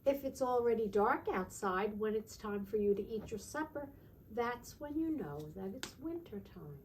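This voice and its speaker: A woman teacher reading aloud to young children in a sing-song way, pausing to ask simple check-in questions. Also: on the high side, expressive, darkish tone, a little hiss or hum. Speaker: children's teacher and storytime reader.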